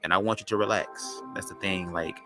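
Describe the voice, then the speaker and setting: A man with a low, raspy voice, hosting a podcast as a loose, slangy spiritual monologue. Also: steady tone, over music. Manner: loose, slangy, drawn-out talk. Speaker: podcast host.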